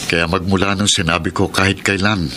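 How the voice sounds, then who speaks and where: An actor playing a distressed young husband in a classic radio drama, earnest and pained. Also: male voice, low voice, level tone, fairly quick, noisy background, close to an everyday voice. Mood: despairing. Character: distressed young husband who suspects his wife. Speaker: lead character and letter writer. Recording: loud drama music underneath. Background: loud background music.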